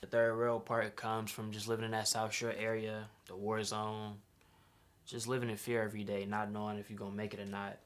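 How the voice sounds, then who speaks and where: A man's voice: lowish voice, flat delivery, brisk. A young man from Chicago talking casually about his custom sneaker, in laid-back slang that often ends with "right?". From Chicago, USA. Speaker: sneaker designer. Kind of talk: casual vlog explanation.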